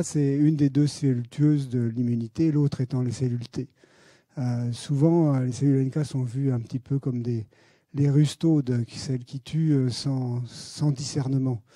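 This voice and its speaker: Middle-aged French-accented man, mellow dark voice, halting lecture delivery with frequent "euh", dry sound. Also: medium pitch, dry recording. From France. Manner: hesitant, many fillers, friendly. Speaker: guest speaker, pediatric hematologist and researcher. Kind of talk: conference talk. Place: lecture hall.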